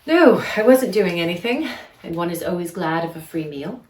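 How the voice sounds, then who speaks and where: A woman's voice: lowish voice, animated, normal speed, a little mellow, lightly acted. A woman playing a wry, envious single dinner guest, dry and arch, in a dramatized novel reading. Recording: distant mic with clear background noise.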